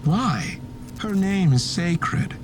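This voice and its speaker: Slow, reverent cultist fanatic. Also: male voice, sits high, lively intonation, music in the background, heavily acted. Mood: devout. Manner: slow, reverent, preaching delivery. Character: fanatical male cultist, reverent, serene and menacing. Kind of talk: dramatic video game acting.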